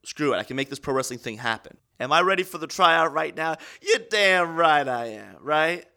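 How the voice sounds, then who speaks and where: Young man born in Estonia, twenties, bright and forceful voice, aspiring wrestler recounting his story with candid, earnest swings from hushed to fired up. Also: a little high, animated, normal speed, creaky. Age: 20s. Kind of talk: documentary interview.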